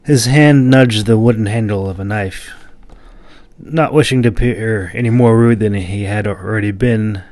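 A man's voice: fairly low pitch, darkish tone, dry recording. A man reading a fantasy audiobook as narrator, with dramatic storytelling delivery.

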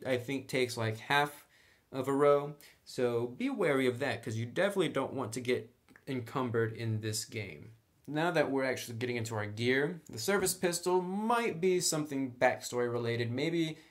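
Informal man walking through a tutorial. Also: medium pitch. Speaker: host of a tabletop roleplaying tutorial channel. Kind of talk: casual tutorial walkthrough.

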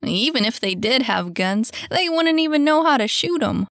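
A woman's voice: fairly high pitch, expressive, normal speed, a bit bright, dry recording, studio quality, voice pushed up. A woman voicing a cocky, mischievous young boy in a storybook, with smug, slangy, laid-back wisecracks. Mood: amused, confident.

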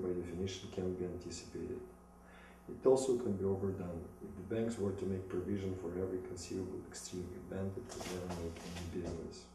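A man in his thirties from Russia with a Russian accent, giving a halting, rehearsed presentation in English from notes. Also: low voice, mellow. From Moscow, Russia. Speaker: business school applicant. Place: small indoor room against plain wall. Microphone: distant camera mic. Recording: echoey room, distant mic, some noise.

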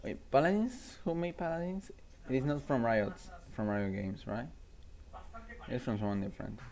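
A man's voice: mid-range voice, moderate pace, a little mellow. A man hosting a livestream, chatting casually and thinking aloud in a rambling way. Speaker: livestream host. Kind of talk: casual game-stream chatter.

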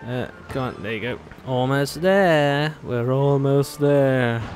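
Casting: male gaming host, mid-pitched, casual and playfully sarcastic, music underneath. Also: takes it slowly. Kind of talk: casual gameplay commentary. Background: game music.

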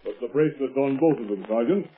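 An actor playing a gruff, self-satisfied, blustery police commissioner in a 1930s radio drama. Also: male voice, mid-range voice, fast talker, close to an everyday voice. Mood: smug, gruff. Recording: narrow, lo-fi 1930s radio broadcast.

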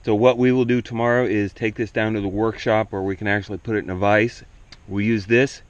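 Middle-aged man, low voice, relaxed plainspoken farm vlogger, dull lo-fi outdoor camera audio. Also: fairly quick. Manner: relaxed, plainspoken, thinking aloud while working. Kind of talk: casual how-to narration. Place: outdoors on a farm yard by a woodshed.

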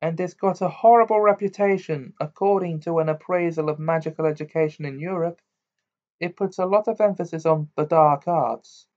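A man's voice: fairly high pitch, moderate pace, darkish tone, dull audio, pitched above the performer's own voice, close to an everyday voice. A man voicing a bookish, bossy teenage girl in a storybook, sniffy and matter-of-fact. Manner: matter-of-fact, know-it-all.

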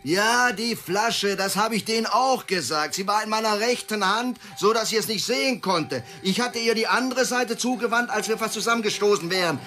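Death-row inmate: high male register, halting, worn down, radio play with faint music. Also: sharp tone. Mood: anxious, defensive. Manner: halting, repeats himself, subdued. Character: hesitant, beaten-down man condemned to death, protesting innocence. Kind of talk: German radio play acting.

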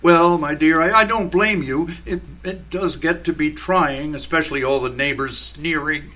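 A man's voice: fairly high pitch, normal speed, sharp tone. A man acting in radio theater as a stubborn, dreamy husband becoming a tree, speaking formally and solemnly. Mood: wounded, patient.

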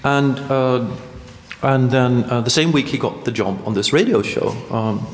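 A man's voice: medium pitch, unhurried, noisy background. A man in his thirties from Sweden with a Swedish accent, telling a rambling story as a performance artist, full of hesitations and restarts. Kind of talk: performance monologue. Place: large hall. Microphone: stand mic. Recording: echoey hall, long reverb.

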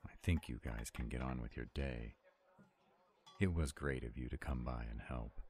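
A man playing a grumpy, self-doubting were-dragon cook in a roleplay audio drama, gruff but tender and grumbling reluctantly. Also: a bass voice, normal speed, darkish tone.

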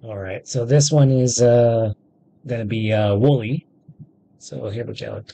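A man in his thirties talks through a game in a casual, halting way. His voice is creaky and slow, as if thinking aloud while he types. There is faint noise and game ambience behind him. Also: medium pitch, mellow. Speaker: gaming video host. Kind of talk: casual let's-play commentary. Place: indoors at a computer.

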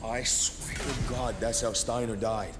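A man's voice: mid-range voice, fairly even intonation, unhurried, close to an everyday voice. An actor playing a haunted, brooding male operative in a video game, muttering with grim intensity. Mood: grim, bitter. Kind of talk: dramatic video game narration. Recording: loud music over the voice.

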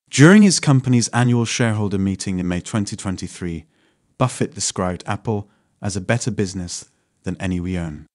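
A man reads a finance script in a steady, even voice that lifts a little at the start of each new point. His pitch sits on the low side. The recording is close and dry, with no room sound. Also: no room sound. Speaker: narrator of a finance channel. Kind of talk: scripted narration.

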